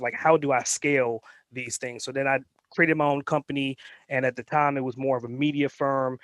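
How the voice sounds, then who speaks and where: A man's voice: mid-range voice, fairly bright tone, dry recording. A man born in Trinidad and Tobago and raised in New York, a guest telling his life story in a casual interview, rambling with lots of fillers. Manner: rambling, anecdotal, many fillers. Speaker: guest entrepreneur.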